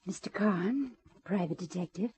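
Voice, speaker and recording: A woman's voice: lowish voice, moderate pace, background noise, natural voice. An actress playing an urgent but controlled woman client in trouble, in a 1950s radio detective drama. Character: urgent, coolly composed female client in trouble.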